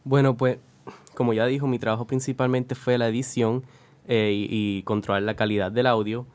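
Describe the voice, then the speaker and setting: Young male guest, Puerto Rican accent, mid-range, casual and slightly halting as he explains his work. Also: medium pitch, flat delivery, a little mellow. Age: young adult. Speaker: student audio editor, guest. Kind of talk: conversational interview answers.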